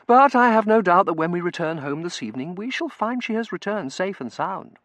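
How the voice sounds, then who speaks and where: A narrator voicing a kindly, sensible young woman with a quiet, cultured and polite manner in a storybook reading. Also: medium pitch, expressive, fairly quick, no room sound, voice pushed up, lightly acted. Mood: courteous.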